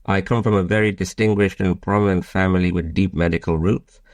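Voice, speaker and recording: A man's voice: deep voice, steady tone, fairly quick, a little mellow, no echo. A man around fifty, born in Bangladesh and raised in the UK, giving a polished, formal introduction as a podcast guest. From Bangladesh, raised in the United Kingdom. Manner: polished, formal, reads like a prepared statement. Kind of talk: prepared self-introduction, interview answers.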